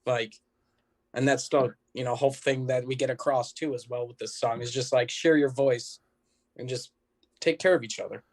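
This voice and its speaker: A man's voice: medium pitch, steady tone, moderate pace, darkish tone. A man, a musician guest, chatting in an informal, rambling way. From United States. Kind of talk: casual chat.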